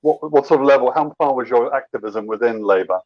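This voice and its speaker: A man's voice: medium pitch, fairly quick, dry recording, highs cut off. A man co-hosting an interview, asking probing questions with stumbling restarts. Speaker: podcast co-host.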